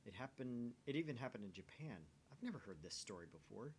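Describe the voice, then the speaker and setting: A man's voice: mid-range voice, lively intonation, fast talker, a little mellow, slight background noise. A man reading a visual novel's narration and dialogue aloud in a dramatic reading. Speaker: amateur voice reader of a visual novel.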